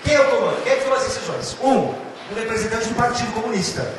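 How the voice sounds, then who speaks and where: A man from Brazil with a São Paulo accent, giving a lively, theatrical literature lecture and addressing students directly. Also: very high-pitched, quick pace. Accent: São Paulo state Brazilian Portuguese. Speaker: literature teacher. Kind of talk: animated exam-prep lecture. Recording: echoey hall, far from mic, noisy.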